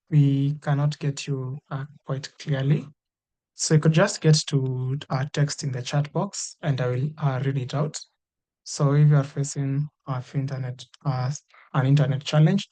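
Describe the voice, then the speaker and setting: A man's voice: medium pitch, a little mellow. A man hosting an online course meeting, inviting participants to introduce themselves with repeated encouragement and many fillers. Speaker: meeting host. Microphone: video call.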